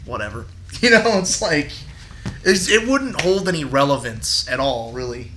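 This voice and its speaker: Casual podcast guy, high voice, jokey, noisy recording. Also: sing-song, moderate pace, pushed and bright. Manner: joking, laughs often. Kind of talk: casual chat.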